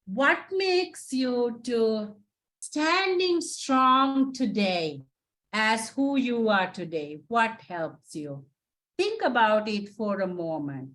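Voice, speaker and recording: A woman's voice: a little high, big pitch swings, takes it slowly, a bit bright. A woman from Sri Lanka with a Sri Lankan accent, a Sinhala native speaker, giving an encouraging lecture as a psychotherapist and often tagging her points with "right?". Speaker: psychotherapist presenter. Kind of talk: lecture presentation to students.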